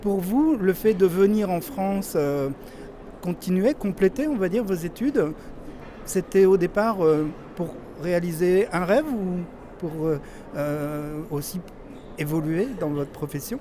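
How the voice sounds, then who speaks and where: A male interviewer asking long, measured questions with occasional fillers. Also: high voice, expressive, dark tone, slight background noise.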